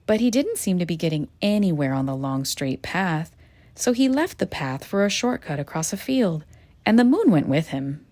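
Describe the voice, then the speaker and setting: A woman reading a children's picture book aloud in a gentle, expressive storytelling manner. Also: lowish voice, normal speed. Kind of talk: children's read-aloud narration.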